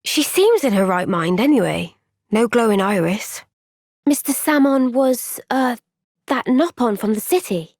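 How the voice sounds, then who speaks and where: Young female game heroine: high voice, slow measured delivery, gentle yet resolute, dry close recording. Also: animated, dry recording. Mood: pensive. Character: earnest, gentle but firm young woman fighter. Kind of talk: video game cutscene voice acting.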